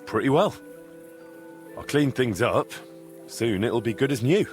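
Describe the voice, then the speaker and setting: A man's voice: mid-range voice, expressive, a little slow, soft background music, lightly acted. A man playing an easygoing, modest young blacksmith adventurer in a medieval video game. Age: young adult. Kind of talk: video game character dialogue.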